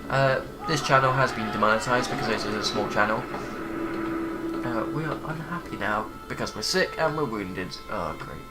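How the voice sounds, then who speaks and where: Man, bunged up with a cold, rambling gameplay commentary, halting with lots of ums, game music underneath. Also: lowish voice. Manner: rambling, hesitant with many fillers. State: congested with a cold. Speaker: gaming channel host. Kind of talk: casual let's play commentary.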